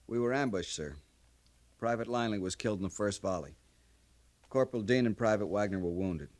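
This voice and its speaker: A man's voice: fairly low pitch, brisk, a little mellow, natural voice. Man playing an earnest young American cavalry lieutenant. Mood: subdued. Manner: formal, deferential military address. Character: earnest, hot-headed young male green cavalry lieutenant. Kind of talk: 1950s radio western drama acting. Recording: 1950s radio drama recording.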